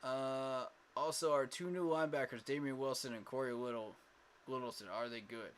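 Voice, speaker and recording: A man's voice: mid-range voice, steady tone, moderate pace, a little hiss or hum. A man hosting a casual fan livestream, talking emphatically with lots of fillers and repeated words, tired from little sleep. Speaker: fan channel host.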